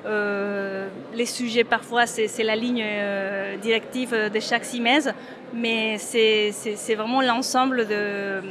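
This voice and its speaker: A hesitant woman from Mexico with a somewhat high voice answers an interviewer's questions about her work. She restarts her sentences often and fills pauses with "uh" as she searches for words. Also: steady tone, crowd noise. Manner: hesitant, many fillers and restarts. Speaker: photographer. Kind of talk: interview at an exhibition opening.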